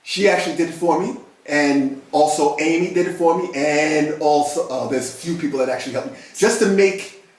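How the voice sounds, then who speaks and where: A man with a heavy accent and a slightly high voice, chatting as co-host and stumbling over his words, repeating them eagerly. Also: fairly quick, distant mic. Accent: Spanish-influenced accent. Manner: stammering, repeats words, enthusiastic. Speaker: podcast co-host and singer. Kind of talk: casual chat. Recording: very echoey room.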